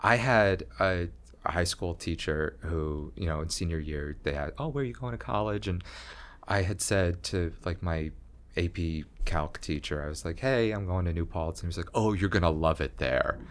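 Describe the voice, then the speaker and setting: A young man talking slowly in a low voice with long pauses, chatting as a guest about his art and career. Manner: slow, low-voiced, pausing talk. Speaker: comedian and graphic design teacher, guest. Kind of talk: casual interview chat.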